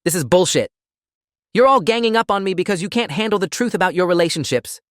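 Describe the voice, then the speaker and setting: A man voicing a desperate, self-pitying gym bully who rants and accuses loudly in an audiobook story. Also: fairly high pitch, expressive, fast talker, no echo, clean studio sound, voice pushed up, lightly acted. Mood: accusing, scornful. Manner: ranting, accusatory, loud.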